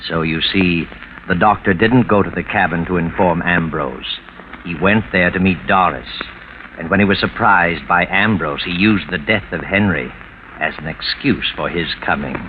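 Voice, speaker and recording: An actor playing a sinister, all-knowing, taunting narrator of a 1940s radio mystery. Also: male voice, low-pitched, normal speed, noisy background, lightly acted. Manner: taunting, knowing, rhetorical questions. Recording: old 1940s radio broadcast, narrow band, loud music. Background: loud music.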